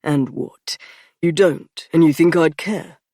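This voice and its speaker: A woman voicing a teasing, confident young man in a fantasy audiobook, pitching her voice lower. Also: takes it slowly, dark tone, no echo, studio quality, exaggerated voice.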